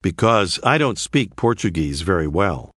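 A man narrating a language course, calmly prompting the learner with phrases to say. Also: sits low, dry recording, studio quality. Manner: slow, clear, prompting the learner. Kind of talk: scripted instructional narration.

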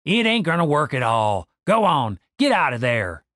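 Audiobook role: sardonic big young guy voiced by the narrator, animated, grumbling, casually exasperated. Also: medium pitch, moderate pace, no echo, clean studio sound. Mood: exasperated, wry. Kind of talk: audiobook character dialogue.

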